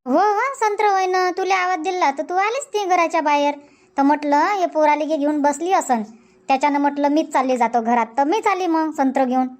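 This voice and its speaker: Woman doing busy cartoon village aunty, high voice, varhadi marathi accent, rambles on and repeats herself. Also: fairly quick, lightly acted. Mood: busy, chatty. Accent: Varhadi Marathi from Vidarbha. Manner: rambling, folksy, repetitive. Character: busy, chatty village auntie in a comedy cartoon. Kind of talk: cartoon comedy dubbing.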